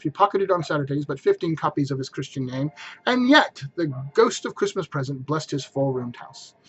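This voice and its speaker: A man's voice: a little high, slightly rough, lo-fi sound. A man giving a theatrical, emphatic dramatic reading of a story as narrator.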